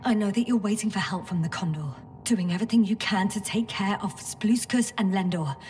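A woman's voice: mid-range voice, brisk, darkish tone, recorded from a distance, soft background music, lightly acted. An actress playing a weary, resourceful female space scientist in a video game, speaking naturally and sometimes muttering to herself. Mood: exasperated, placating.

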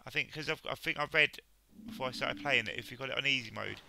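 Casting: man with a croaky, gravelly voice, very bright tone, faint hiss, nervous horror playthrough. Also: mid-range voice, fast talker, no echo. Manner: nervous, mutters to himself. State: croaky, sore throat. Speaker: let's play gamer. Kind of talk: casual gameplay commentary.

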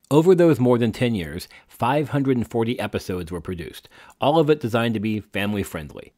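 A man presents a scripted podcast introduction. His voice sits low. The sound is close and dry, without room echo. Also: darkish tone. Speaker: podcast host.

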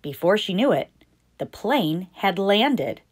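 A woman reading a picture book aloud as a storytime host, deliberate and expressive for young children. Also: medium pitch, breathy edge. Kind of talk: scripted picture-book narration read aloud.

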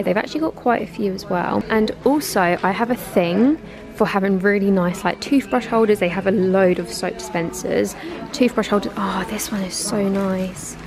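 A young woman vlogging as she browses, chatty and giggly, with a gravelly fry in her voice. She talks to a handheld camera inside a big store, with clear music playing underneath. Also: mid-range voice. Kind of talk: casual shopping vlog chat. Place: large home goods store, indoors.

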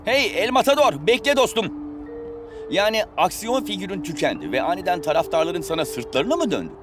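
A man voicing a loyal, level-headed young footballer in an animated cartoon dub, speaking earnestly and reassuringly. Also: high voice, a little fast. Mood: concerned. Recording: loud music behind the voice.

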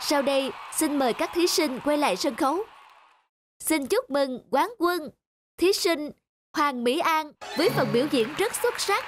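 A woman's voice: high voice, animated, measured pace, noisy background. A woman voicing a ballet contest announcer with a formal, announcing delivery. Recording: music playing underneath.

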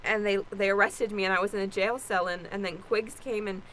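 An actress voices an anxious young woman fugitive in an improvised radio play. She stammers and rambles in a frazzled way. There is clear noise behind her. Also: mid-range voice, fairly even intonation, fairly quick, a bit bright, natural voice, rough recording. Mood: agitated, rambling. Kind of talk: improvised old-time radio play acting.

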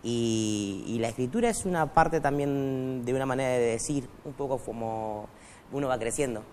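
A man's voice: medium pitch, moderate pace, darkish tone, a little hiss or hum. Hesitant man with a Quechua first language. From Argentina, raised in Bolivia. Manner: hesitant, many fillers and restarts. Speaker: guest writer. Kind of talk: casual interview talk. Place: TV studio. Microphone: lapel mic.

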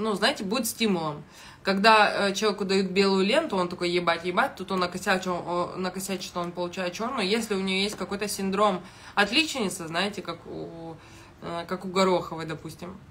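A young woman giving casual video commentary in a blunt, halting, heavily sweary manner, recorded on a phone in a kitchen. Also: mid-range voice, bright, forceful tone. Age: young adult. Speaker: reality show commentator. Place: small kitchen indoors. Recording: noticeable background noise.

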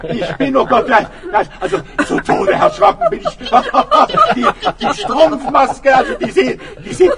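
A man's voice: huge pitch swings, measured pace, hardly any pauses, recorded from a distance, a loud crowd, exaggerated voice. A man playing a factory watchman in a radio play, stammering and gasping with helpless laughter. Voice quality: high, breathless voice. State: uncontrollable laughter, out of breath.

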